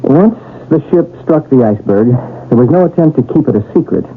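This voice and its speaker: A man's voice: on the high side, expressive, a little fast, a little hiss or hum, close to an everyday voice. An actor playing a polite, deferential man with a formal, scholarly delivery in a 1970s radio mystery. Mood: formal, obliging. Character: polite, deferential man reading his historical paper. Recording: narrow, tinny old radio recording.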